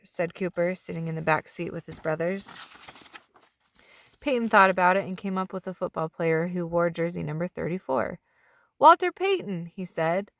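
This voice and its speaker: A woman's voice: lowish voice, a bit bright, no room sound, clean studio sound. A woman reading a children's storybook aloud as a narrator, drawing out the ends of some phrases. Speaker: children's book reader.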